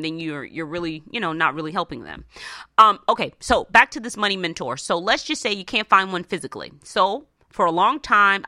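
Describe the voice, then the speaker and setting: A woman radio host with a bright, forceful voice and a dry, close sound. She coaches her listeners conversationally and with emphasis, slipping into little imagined dialogues and checking that they follow. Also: fairly low pitch, no echo. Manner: punctuates points with check-in questions. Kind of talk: motivational talk to listeners, conversational.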